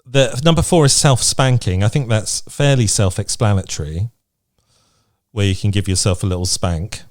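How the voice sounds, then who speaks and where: A man's voice: on the low side, normal speed, a little mellow. A British man co-hosting a podcast, chatting casually and reading out lists in a frank, playful way. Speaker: podcast co-host. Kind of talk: casual chat, reading out from a list.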